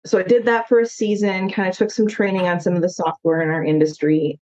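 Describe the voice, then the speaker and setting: A woman's voice: medium pitch, level tone, fairly quick, darkish tone, lo-fi sound. A woman in her thirties with a Minnesota accent, a chatty guest telling her story in a casual interview. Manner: chatty, laughs often, many "like" fillers. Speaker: guest, construction company owner.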